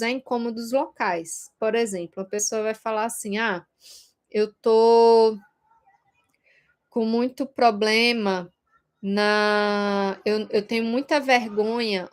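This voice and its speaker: A woman with a Brazilian Portuguese accent teaching an informal video lesson in a conversational way, often checking in with short tag questions. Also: fairly high pitch. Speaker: Reiki course teacher.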